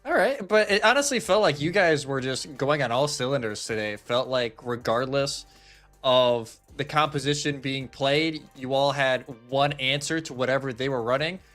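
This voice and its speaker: Male esports desk analyst, medium pitch, casual breakdowns and questions over soft music. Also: moderate pace. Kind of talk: casual match analysis and interviewing.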